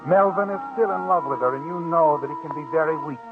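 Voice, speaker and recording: A man's voice: a little high, fast, natural voice. Man as a sinister radio suspense narrator, music behind. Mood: taunting, knowing. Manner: insinuating, dramatic, addresses listener as the culprit. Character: sinister omniscient male narrator, knowing and taunting. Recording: narrow-band old radio recording. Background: dramatic music.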